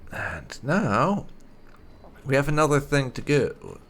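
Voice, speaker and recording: Animated man with a creaky voice. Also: medium pitch, slow, slight background noise. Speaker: gaming channel host, player. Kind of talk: reading game dialogue choices aloud in character.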